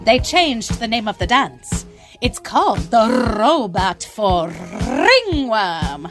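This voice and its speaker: Woman as old-lady schemer, unhurried, animated, highish, comedy drama, music behind. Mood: scheming. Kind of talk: comic audio drama acting.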